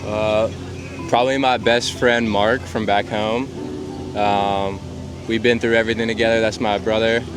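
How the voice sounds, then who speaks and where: A man's voice: lowish voice, little pitch movement, moderate pace, music in the background. A man answering street interview questions in casual, slangy speech.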